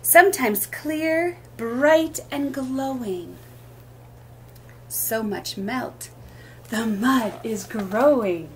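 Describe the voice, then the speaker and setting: A woman hosting a children's story time, reading a picture book aloud expressively in short, deliberate phrases. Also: a little high, slight background noise.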